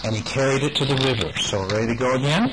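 A man's voice: mid-range voice, fast talker, very noisy. Older man reading a bedtime story. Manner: gentle, chatty, asks the child questions.

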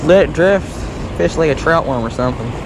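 A man's voice: on the high side, expressive, fairly quick, very noisy. A man chatting casually and folksily for an outdoor fishing vlog, half to himself, recorded on a body-worn action camera. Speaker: angler vlogger. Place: outdoors on a grassy riverbank.

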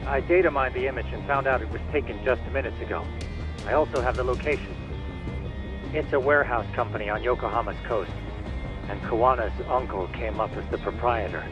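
A man playing a polite, bookish tech-savvy informant in a video game dub, with a formal, precise and measured way of talking. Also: medium pitch, highs cut off, over music, lightly acted. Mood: composed.

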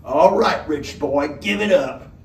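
A man voicing a menacing, rough male robber who leads a gang, with a gruff, swaggering manner. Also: on the high side, expressive, slow, darkish tone, slight background noise, heavily acted. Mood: threatening. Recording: roomy distant mic.

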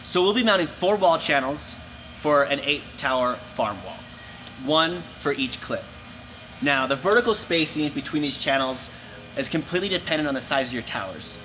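A young man presenting for a company, giving clear step-by-step instructions in a large indoor room. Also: a little high, lively intonation, moderate pace, sharp tone, slight background noise, rough recording. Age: young adult. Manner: clear step-by-step explaining with hand gestures. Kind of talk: instructional how-to video.

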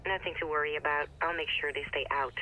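A woman's voice: low-pitched, steady tone, fast talker, sharp tone, a little hiss or hum. An actress playing a cool, guarded young female hacker in a video game, clipped and matter-of-fact, over a phone call. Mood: cool, assured. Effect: phone call filter. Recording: phone call over faint outdoor ambience.

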